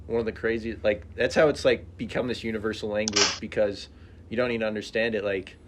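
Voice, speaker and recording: A man co-hosting a podcast, talking in an even, reflective way with many fillers. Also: fairly low pitch, brisk, slight background noise. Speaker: podcast co-host. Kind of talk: casual podcast chat.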